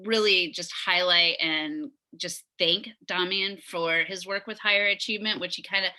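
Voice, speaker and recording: A woman's voice: on the low side, steady tone, normal speed, sharp tone. A woman chairing a neighborhood committee meeting on a video call, talking enthusiastically with many restarts. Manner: talkative, enthusiastic, trailing restarts. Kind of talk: virtual community meeting.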